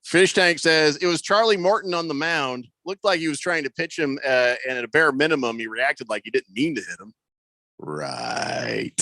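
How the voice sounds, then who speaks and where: Bright-voiced man hosting sports radio. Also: mid-range voice, animated, moderate pace, gravelly, no echo. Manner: storytelling, reads listener comments aloud. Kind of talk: casual talk show banter.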